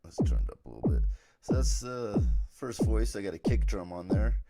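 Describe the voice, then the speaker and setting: A man with a raspy, worn throat from being ill, casually walking through gear on a live stream with lots of fillers. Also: low voice, brisk, over loud music. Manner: relaxed, rambling with many fillers.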